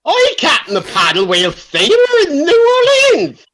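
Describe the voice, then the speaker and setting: A woman voicing a loud, boastful, cantankerous village man who tells tall tales in a comedy radio play. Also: high voice, huge pitch swings, a little slow, exaggerated voice. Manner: blustering, insistent.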